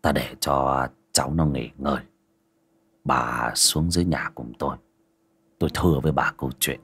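A man voicing a gaunt older fortune-teller who speaks in a hushed, sighing way. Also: rumbling low, normal speed, breathy edge, voice pushed down, full character voice. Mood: grave. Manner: hushed, half-whispered, sighing.

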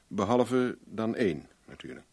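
An actor playing a shrewd, self-assured private investigator in a Dutch radio play, probing in a blunt, wry, plain-spoken way. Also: male voice, on the low side, very animated, measured pace, a little mellow, breathy edge, exaggerated voice. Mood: knowing, pressing.

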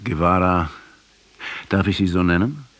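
An actor playing a detached, probing writer from Argentina in a radio drama, speaking in a deliberate, insistent way. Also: male voice, low-pitched, very noisy. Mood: calm, insistent.

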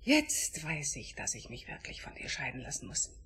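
An actress playing a disillusioned, sardonic wife of a psychotherapist, with cutting delivery in a German radio play. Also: female voice, sits low, huge pitch swings, moderate pace, fairly bright tone, slightly rough, full character voice. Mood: coldly resolved.

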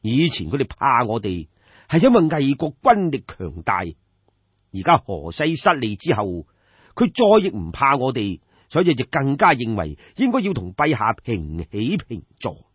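A man with a Cantonese accent voicing a composed, shrewd court adviser of ancient China, measured and deferential, in an audio story. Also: medium pitch, huge pitch swings, slightly rough, dry recording, lo-fi sound. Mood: confident, persuasive.

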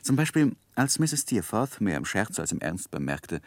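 A reflective narrator in an old German radio play. His voice is deep, with wide, expressive intonation, recorded dry. He tells the story in measured, literary sentences. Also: no room sound. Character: David Copperfield as reflective adult narrator.

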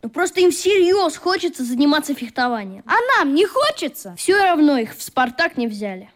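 Schoolboy, very high pitch, animated intonation, earnest, boyish, emotional radio play acting. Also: female voice, fairly quick. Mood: bitter, argumentative.

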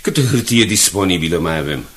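A man's voice: low voice, animated, a bit bright. A man playing a determined newspaper director under pressure in a radio drama, speaking in a commanding, emphatic way. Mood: troubled. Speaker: actor.

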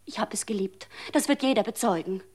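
An actress playing a grieving, honest mother who pleads and protests, moving from hushed whispers to raised insistence. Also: medium pitch, a little fast, slightly rough, natural voice. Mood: pleading. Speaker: mother at a funeral. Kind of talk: radio drama acting.

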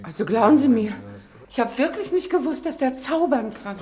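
A woman's voice: high-pitched, expressive, a little fast, dark tone. An actress playing a homely, defensive widowed landlady in a German radio play. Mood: flustered. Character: plain-spoken, indignant widowed landlady, loyal to her lodger.

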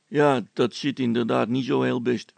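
A man's voice: medium pitch, fairly even intonation, moderate pace, gravelly, darkish tone, no room sound. A man voicing a good-natured, proud village policeman with a folksy, rambling, hesitant way of talking in a comic story. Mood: jovial. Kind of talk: character voice in a read-aloud comic story.

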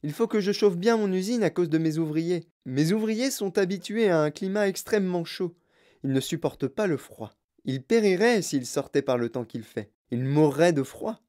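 A storyteller voicing a sprightly, mischievous old chocolatier with a clear, fluty voice, excitable and effusively polite, in an audiobook. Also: mid-range voice, normal speed, voice pushed up, close to an everyday voice. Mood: brisk, cheerful. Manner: excitable, repeats himself in courteous bursts.

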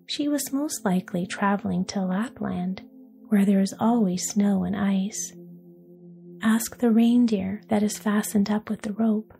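A woman voicing a wood pigeon that coos softly, in a storybook tale. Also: medium pitch, a little slow, gravelly, faint music behind. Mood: sad. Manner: cooing, soft.

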